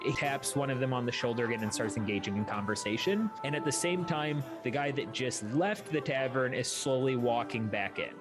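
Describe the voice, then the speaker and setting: A man's voice: medium pitch, expressive, a little fast, few pauses. A man narrating a tabletop roleplaying game as game master in an explanatory, conversational way. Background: music.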